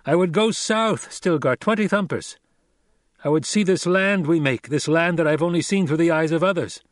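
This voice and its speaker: A man's voice: on the high side, moderate pace, dry recording, clean studio sound, pitched above the performer's own voice, close to an everyday voice. A man reading a proud, earnest young man in an audiobook, speaking with firm determination. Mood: determined. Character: young male heir turned desert rider, proud and earnest.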